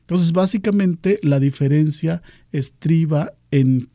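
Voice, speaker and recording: A man from southern Veracruz whose first language is Nahuatl, explaining things in a measured way during a radio interview. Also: on the high side, warm tone, no echo, studio quality. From Pajapan, southern Veracruz, Mexico. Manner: explanatory, measured, with "este" fillers. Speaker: guest, cultural outreach official.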